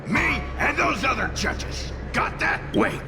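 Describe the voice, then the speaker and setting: A man voicing a gruff, bad-tempered, blustering spirit in a video game. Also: extremely high pitch, sing-song, slow pace, exaggerated voice. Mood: angry, menacing. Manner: blustering, scolding, loud outbursts. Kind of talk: theatrical video game voice acting. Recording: loud music under the voice.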